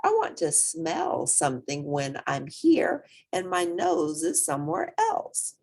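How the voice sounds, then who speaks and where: A woman's voice: deep voice, slow, gravelly, full character voice. A woman voicing an eager, determined young male hippo, curious and proud, in a children's storybook. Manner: excitable, polite, boastful.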